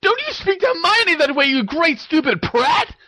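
A man's voice: high voice, very animated, a little fast, no room sound, clean studio sound, voice pushed up. A man voicing a fiery, proud teenage witch in an audiobook-style story, with emphatic delivery. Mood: furious. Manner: scolding, emphatic when angered. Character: fiery, indignant teenage witch, girl with stubborn pride.

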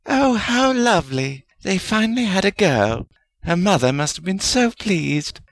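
Man putting on a high, cooing, kindly witch. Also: wildly swooping pitch, moderate pace, creaky, no echo, heavily acted. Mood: delighted, cooing. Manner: gentle, motherly. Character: kindly, cooing, soothing female witch spirit.

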